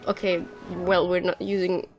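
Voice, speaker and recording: A woman's voice: fairly low pitch, level tone, moderate pace. A woman game streamer giving casual live commentary, wry, offhand and joking. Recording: game music behind the voice.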